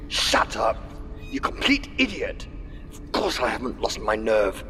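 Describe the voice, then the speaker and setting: A man's voice: on the low side, huge pitch swings, a little slow, heavy background noise. A man playing a scheming, panicky young wolf heir, whiny, blustering and stammering, in a sci-fi audio drama.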